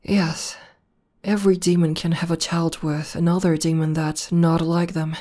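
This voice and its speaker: A woman playing an affectionate, teasing tomboy demon girlfriend in an intimate ASMR roleplay, speaking softly with hesitant pauses. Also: lowish voice, flat delivery, lightly acted. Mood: explaining gently. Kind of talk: ASMR roleplay audio spoken to the listener.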